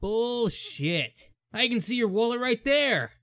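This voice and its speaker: A man's voice: very high-pitched, sing-song, takes it slowly, fairly bright tone, no room sound, clean studio sound, heavily acted. A man playing a menacing, mocking teenage school bully with a sneering, aggressive delivery in an audio drama. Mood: hostile.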